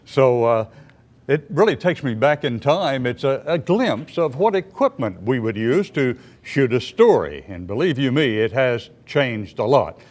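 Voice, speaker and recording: A man's voice: medium pitch, big pitch swings, a little slow, a little mellow. Older American man, veteran broadcaster, reminiscing. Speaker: veteran former broadcaster, guest. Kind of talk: reminiscing, unscripted talk.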